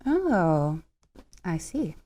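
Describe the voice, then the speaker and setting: An actress playing a brash, playful young party girl in a screenplay table read, with an excitable, teasing, slangy delivery. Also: lowish voice, very slow, heavily acted.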